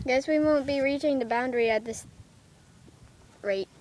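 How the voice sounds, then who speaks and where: A young girl playing out a toy pet adventure with excited squeals and put-on voices, filmed on a phone outdoors. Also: high-pitched, normal speed. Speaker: toy video maker voicing pets. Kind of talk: playful toy play acting. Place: backyard playground outdoors. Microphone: handheld phone camera. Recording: phone audio with background noise.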